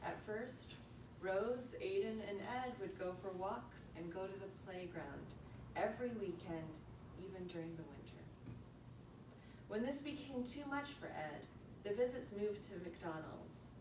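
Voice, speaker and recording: A woman's voice: animated, a little slow. A woman reading a prepared eulogy aloud in a storytelling way. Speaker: eulogy reader. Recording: echoey room, distant mic, noisy rumble.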